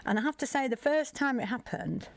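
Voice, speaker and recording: A woman's voice: high voice, animated, brisk, gravelly, no room sound. A woman presenting a conversational radio interview, chatty and emphatic as she puts questions and reflects answers back.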